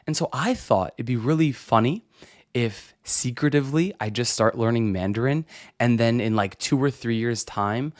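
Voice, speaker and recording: A young adult man hosting a podcast, talking casually on his own with lots of "right?" tags and restarts. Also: medium pitch. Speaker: podcast host. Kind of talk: casual solo podcast monologue.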